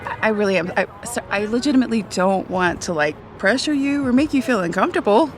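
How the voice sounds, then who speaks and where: An actress playing a playful, teasing young woman in a romantic comedy audio drama, giggly and excitable in a conversational way. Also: mid-range voice, fast talker, a little hiss or hum, close to an everyday voice. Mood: sheepish, earnest.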